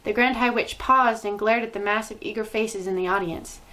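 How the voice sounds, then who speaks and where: A woman reading a children's book aloud as expressive storytime narration. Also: medium pitch, brisk. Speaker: storytime reader.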